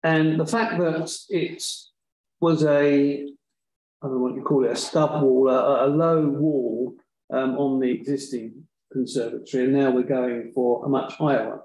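A man on a council committee voicing concerns slowly and hesitantly, filling gaps with ums. His speech is unhurried. The room around him is echoey. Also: medium pitch, steady tone, darkish tone. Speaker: committee member. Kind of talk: council meeting discussion. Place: large meeting room.

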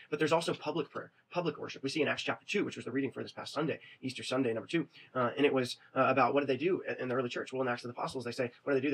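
A man in his thirties giving a recorded webcam lecture on religion, talking quickly and conversationally and often checking in with "right?". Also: medium pitch, breathy edge, low-quality recording. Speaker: religion teacher. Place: small indoor room. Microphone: webcam at desk.

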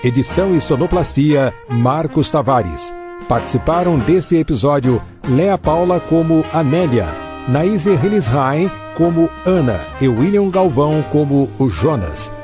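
A man's voice: fairly high pitch, animated, music in the background. A man reading the closing credits as a formal radio announcer. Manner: formal, measured.

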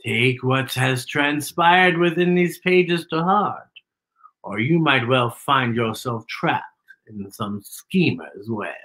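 A man voicing a sly, boastful spider in a storybook, grandiose, arch and mock-polite with drawn-out words. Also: medium pitch, fairly bright tone, echoey room, pitched below the performer's own voice. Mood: menacing. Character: sly, boastful, theatrical predatory spider trickster. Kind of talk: storybook character reading.

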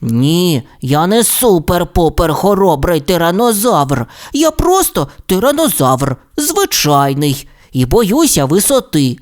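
A timid young tyrannosaurus boy from a storybook, as a woman plays him. He sounds fairly low and expressive. His manner is cautious, earnest and reluctant, protesting against any risk. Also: unhurried.

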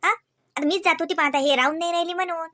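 Cartoon mom, woman performer: piercingly high, fast-talking, persuasive nag, rural Vidarbha accent. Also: steady tone, a bit bright, dry recording. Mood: insistent. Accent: rural Marathi of Vidarbha. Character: nagging, devout young mother of twins. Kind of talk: comedy cartoon dialogue.

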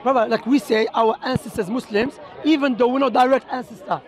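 A man with a very high voice argues insistently in a busy outdoor park, often repeating words and reading scripture aloud. He is picked up on a handheld camera close by, with a crowd murmuring around him. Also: steady tone, a little fast. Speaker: street preacher debating a passer-by. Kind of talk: street debate. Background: crowd chatter.

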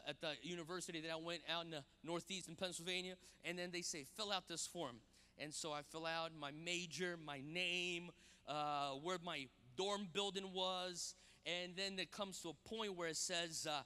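A man, a guest pastor preaching on a church stage into a handheld mic, animated and often repeating words as he starts a sentence. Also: sits high, normal speed, clean tone. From Brazil. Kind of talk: sermon with personal stories. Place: large church stage with audience. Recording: long hall reverb.

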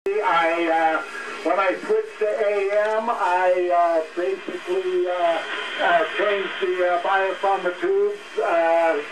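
High-voiced man over noisy shortwave radio. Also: fairly even intonation. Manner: very hesitant, frequent long pauses. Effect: heard over AM shortwave radio. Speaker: amateur radio operator. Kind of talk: casual ham radio chat. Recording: noisy, roomy radio speaker, distant from mic. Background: radio noise.

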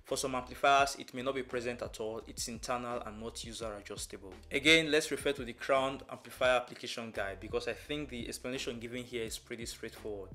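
A young man presenting an instructional tutorial in a measured, step-by-step manner. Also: medium pitch, bright, forceful tone. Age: young adult. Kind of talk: instructional explanation to camera. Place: small furnished room indoors.

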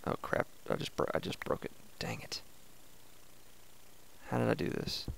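A young man with a raspy voice, casually chatting and thinking aloud as he hosts a gameplay video. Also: moderate pace, slight background noise, low-quality recording. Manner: relaxed, chatty, thinking aloud while playing. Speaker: let's play host.

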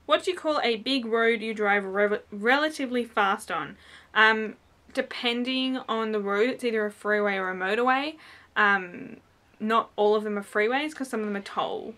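Young woman, broad New South Wales Australian accent, bright voice, rambling casual vlogger, small room. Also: fairly high pitch, normal speed. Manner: chatty, rambling with many ums and likes. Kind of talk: casual vlog chat. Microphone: camera in front, head and shoulders framing.

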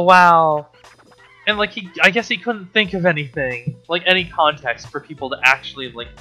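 A man's voice: fairly high pitch, bright, forceful tone. A man reading aloud and commenting off the cuff as a host, sarcastic and incredulous, with exasperated, profane asides.

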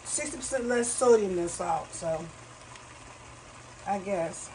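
A woman's voice: medium pitch, takes it slowly, dark tone, poor audio. A woman talking viewers through a recipe in her home kitchen, chatty and calling them y'all, recorded by a camera near the stove. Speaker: home cook vlogger. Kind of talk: casual cooking demonstration. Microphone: camera on a counter nearby. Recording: audible kitchen noise, food sizzling.

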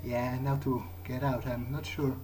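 A man's voice: mid-range voice, little pitch movement, measured pace. A man giving a casual running commentary on the game he is playing. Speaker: gamer narrating own play. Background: game music.

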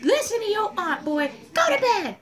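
A young performer doing a very high, childlike cartoon character in a homemade skit. The delivery is loud and giggly, swinging up and down as it exclaims. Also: very animated, normal speed, heavily acted. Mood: scolding.

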